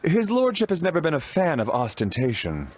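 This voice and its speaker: A man's voice: on the high side, wildly swooping pitch, fairly quick. A man playing a polite, dutiful household butler in a video game, speaking formally and obligingly. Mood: polite, agreeable. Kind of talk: English video game voice acting.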